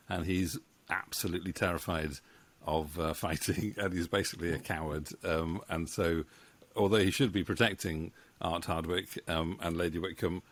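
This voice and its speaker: A middle-aged man hosting a casual interview, hesitant and rambling, speaking close to a microphone at home. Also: deep voice. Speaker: interview host and co-writer. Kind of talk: casual video-call interview. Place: book-lined home room. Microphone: studio microphone on boom arm, close.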